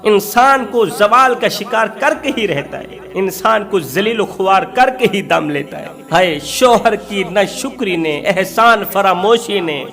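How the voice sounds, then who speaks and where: High-pitched man preaching emotionally. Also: measured pace, few pauses, sharp tone. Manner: impassioned, exhortatory, emphatic repetition in short rhetorical phrases. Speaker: Islamic preacher. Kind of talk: emotional sermon with moral storytelling.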